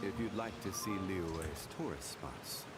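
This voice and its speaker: Calm low-voiced game guide, measured and informative. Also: male voice, soft background music. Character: calm game guide character giving travel advice.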